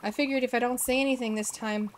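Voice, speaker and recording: A woman's voice: a little high, steady tone, fast, slight background noise, lightly acted. A woman voicing a nervous young male duck kid who squawks and gets defensive, in a cartoonish game. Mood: sad.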